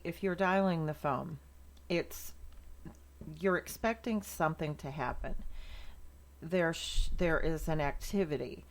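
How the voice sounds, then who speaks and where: Low-voiced American woman, chatty and emphatic. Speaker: sales trainer hosting a morning segment. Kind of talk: casual motivational talk to viewers.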